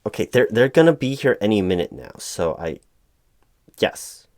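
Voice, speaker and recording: Guy acting as a frazzled hiring manager, low voice, keeps stammering and repeating himself, frustrated, really dry close sound. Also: big pitch swings, a little mellow, dry recording. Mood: confused, frustrated. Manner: halting, stammering, repeats words; frustrated, hesitant. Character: flustered, apologetic male corporate hiring manager. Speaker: recruiting manager in a one-sided roleplay. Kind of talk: improvised audio roleplay, one side of a conversation.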